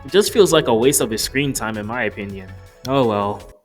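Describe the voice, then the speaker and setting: A man's voice: medium pitch, expressive, brisk, soft background music. A man narrating a casual, joke-filled video review in a wry, profane, mock-exasperated way. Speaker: movie reviewer.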